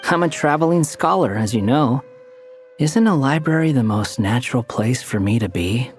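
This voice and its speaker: A man's voice: medium pitch, expressive, moderate pace, music in the background, lightly acted. A man voicing an aloof, sardonic young wandering scholar in an anime game, dry and haughty. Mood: wry, casual.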